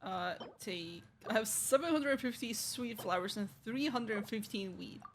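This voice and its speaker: A woman's voice: a little high, expressive, a little slow, slight background noise. A woman livestreaming a game, giving casual, chatty commentary in an offhand manner. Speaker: game streamer.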